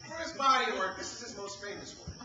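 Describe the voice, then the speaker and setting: An actor playing an earnest, exasperated theatergoer who gives emphatic, pedantic replies in a live stage comedy. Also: male voice, high voice, quick pace, dull audio, natural voice. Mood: defensive. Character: exasperated, earnest male theatergoer deferring to critics. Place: theater festival stage, recorded from the audience. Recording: very echoey, noisy, distant live stage capture.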